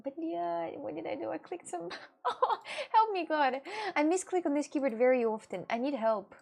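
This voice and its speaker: Young streamer, woman, slightly high voice, halting chatty English with lots of fillers and laughs. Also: vocal fry, darkish tone. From Russia. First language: Russian. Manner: hesitant, halting English with many fillers and giggles. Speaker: livestreamer. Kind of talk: casual live-stream chat with viewers.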